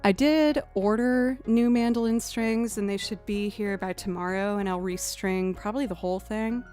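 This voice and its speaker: A young woman with a lower voice, chatting casually with viewers on a music live stream and thinking aloud as she goes. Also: normal speed, a little mellow.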